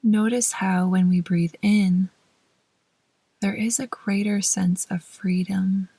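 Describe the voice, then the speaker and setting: Slow, breathy woman guiding meditation. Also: mid-range voice, fairly even intonation, dry recording. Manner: gentle, unhurried, with measured pauses between short phrases. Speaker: guided meditation host. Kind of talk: slow, soothing guided meditation narration.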